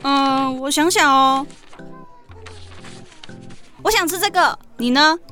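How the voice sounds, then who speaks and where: A high girlish voice with music behind it, a woman acting an outgoing teenage fan in an audio drama. She talks in a friendly, chatty, inviting way. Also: slow, sharp tone, heavily acted. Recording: music under the voice.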